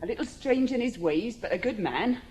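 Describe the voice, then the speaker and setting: An actress playing a polite, gossipy village innkeeper in a British radio drama, homely and deferential in manner. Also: female voice, a little high, lively intonation, normal speed, a little mellow, slight background noise, close to an everyday voice, poor audio. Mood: welcoming, gossipy.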